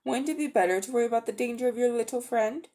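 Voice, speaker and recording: A woman's voice: fairly high pitch, fast, warm tone, voice pushed up, close to an everyday voice. A woman voicing a haughty, cold-hearted older magician uncle in a dramatic storybook reading, condescending and coolly menacing.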